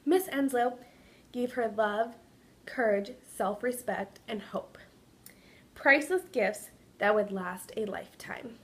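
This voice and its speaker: A woman giving a dramatic reading of a children's story, presenting it like a student with expressive, deliberate delivery. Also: on the high side.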